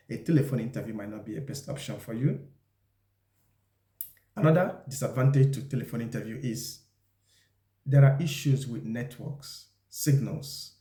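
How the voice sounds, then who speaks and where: A man presenting an instructional talk, explaining things deliberately and speaking straight to the viewer. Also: medium pitch, warm tone. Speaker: video presenter giving job interview advice. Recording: echoey, roomy sound.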